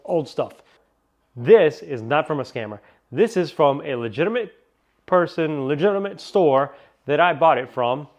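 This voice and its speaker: A man chatting through a casual car-parts unboxing, excitable and rambling, still a little sniffly from a cold. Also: fairly high pitch, muffled recording. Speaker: car vlogger.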